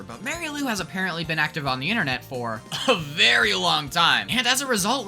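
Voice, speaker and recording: A woman narrates a comedic video essay with a bright, forceful voice on the lower side. Her delivery swings widely in pitch and is theatrical and sarcastic, with faint music underneath. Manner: theatrical, sarcastic, mock-grandiose.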